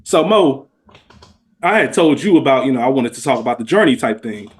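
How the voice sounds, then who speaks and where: A man's voice: medium pitch, lively intonation, brisk. A middle-aged man telling stories in casual, slangy podcast chat, stressing points emphatically and repeating words as he goes. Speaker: podcast guest.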